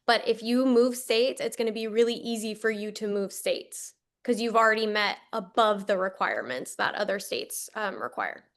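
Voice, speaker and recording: A woman hosting a teacher training, talking briskly and reassuringly in a casual online session. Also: mid-range voice. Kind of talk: casual webinar talk.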